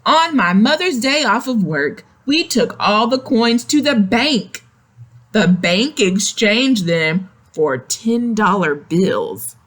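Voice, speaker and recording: A woman reading a picture book aloud to young children, expressive and explanatory, speaking to them directly. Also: mid-range voice, takes it slowly. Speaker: children's story reader. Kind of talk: animated read-aloud of a picture book with asides to young listeners.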